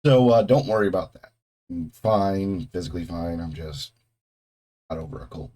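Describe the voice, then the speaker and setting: A young man hosting a reaction video, talking casually with a voice still hoarse from a cold, rambling, swearing and restarting his sentences. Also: low-pitched, expressive, moderate pace, dark tone. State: hoarse, getting over a cold. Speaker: host of a video reaction channel. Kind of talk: casual off-the-cuff chat.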